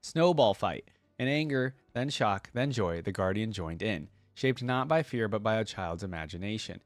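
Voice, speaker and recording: A man's voice: fairly low pitch, expressive, moderate pace, dry recording. A man reading a story aloud expressively and breaking off into casual, slangy commentary as a reaction video host.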